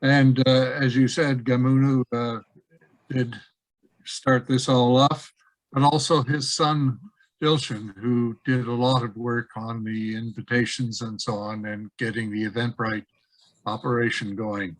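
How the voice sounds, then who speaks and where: A man's voice: medium pitch, little pitch movement, a little slow, no room sound, poor audio. A man hosting a webinar, handing over and closing with thanks on a video call, plain and hesitant. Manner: plain, with restarts and fillers. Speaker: organizer and host of the webinar.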